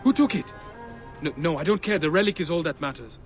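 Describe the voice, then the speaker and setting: An actor playing a driven, scholarly relic seeker of ancient Egypt in a video game, speaking formally and with earnest intensity. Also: male voice, a little high, animated, brisk, natural voice. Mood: urgent excitement. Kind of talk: video game cutscene acting. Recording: game music behind the voice.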